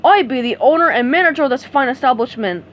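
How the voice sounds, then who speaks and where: A greedy crab boss bellows orders in a loud, bossy voice, as a woman reads him aloud. He sounds lively and forceful. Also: high-pitched, fast, muffled recording. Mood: angry.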